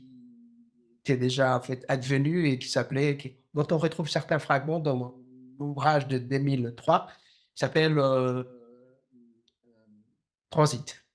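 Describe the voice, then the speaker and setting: 50s guy from djibouti, novelist being interviewed, lots of uh and restarts, mid pitch. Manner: hesitant, many fillers and restarts. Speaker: novelist guest. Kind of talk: thoughtful interview answers.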